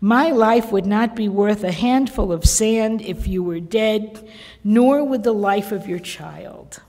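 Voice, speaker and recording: A woman reading aloud gives voice to a proud young wife of the desert, calm and clipped, never raising her voice in defiance. Her words ring out with a long echo through a large hall. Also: mid-range voice, slow pace. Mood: cool.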